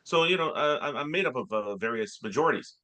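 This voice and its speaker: A man co-hosting a comedy podcast, telling rambling stories in halting bursts full of filler sounds. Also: mid-range voice, animated, pushed and bright. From Canada. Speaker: podcast co-host. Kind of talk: casual comedic chat.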